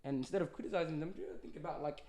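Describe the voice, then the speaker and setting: Young Australian man, earnest, persuasive, slightly high voice, fast. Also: creaky, a little mellow. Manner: earnest, persuasive, builds arguments. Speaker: podcast host. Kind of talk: casual chat.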